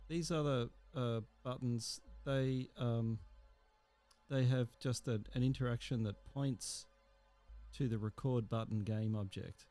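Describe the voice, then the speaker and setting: A man narrating a software tutorial in a casual, explanatory and somewhat hesitant way. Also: mid-range voice.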